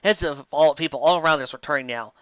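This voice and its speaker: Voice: medium pitch, fast, fairly bright tone, dry recording, muffled recording. A theatrical, exasperated host giving a comic dramatic reading of fan fiction, with asides.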